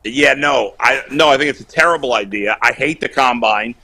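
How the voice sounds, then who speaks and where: A man calling in to a sports talk show, loud, blunt and opinionated, bantering casually over a video call. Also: medium pitch, normal speed. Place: remote video call while on vacation.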